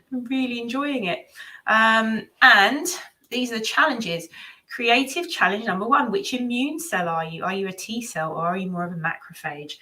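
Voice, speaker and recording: Woman, bright voice, chatty livestream host, informal, hesitant, thinking aloud. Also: on the high side. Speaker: host of a book readalong livestream. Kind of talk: casual live-stream chat, reading out comments and cards.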